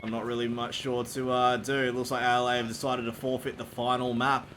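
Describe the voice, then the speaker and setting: A man's voice: mid-range voice, brisk, a little hiss or hum. A man chatting casually after a game, rambling with many fillers. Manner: relaxed, rambling with fillers, laughing. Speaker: esports caster. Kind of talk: casual post-match chat.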